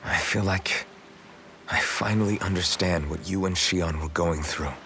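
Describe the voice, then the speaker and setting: A man's voice: low voice, measured pace, pushed and bright, a little hiss or hum, full character voice. A man voicing a self-doubting, brooding young hero in an English video game dub, speaking in a subdued, reflective way. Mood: understanding.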